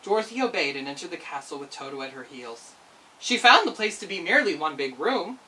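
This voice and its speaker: A woman's voice: sits low, sing-song, fairly quick, sharp tone, far from the mic, rough recording. A woman narrating a children's storybook expressively as a storyteller.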